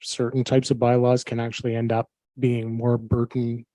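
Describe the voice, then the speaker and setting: Public works man, flat measured delivery, bureaucratic, dry close mic. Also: mid-range voice, no echo. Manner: measured, bureaucratic, many ums. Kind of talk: staff answer at council meeting. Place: council chamber.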